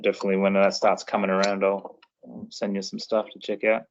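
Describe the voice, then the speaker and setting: A man's voice: on the low side, level tone, a little fast, vocal fry, a little hiss or hum. A man hosting a casual podcast chat, talking in a laid-back, rambling way. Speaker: podcast host. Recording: dull lo-fi recording.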